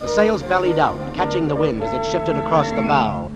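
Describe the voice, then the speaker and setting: Suspicious doctor-narrator, old-time radio suspense, terse delivery, animated pitch, music underneath. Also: male voice, normal speed. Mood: urgent. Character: wary, hard-boiled doctor narrating his sea adventure.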